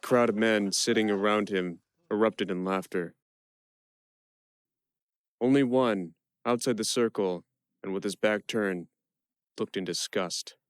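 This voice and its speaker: A slightly low male voice, acted by a man, telling a prisoner's story in dramatic first person. The sound is dry and close, the figure quick-witted and improvising. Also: no room sound, natural voice. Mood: reflective. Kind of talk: first-person dramatic narration for an audio play.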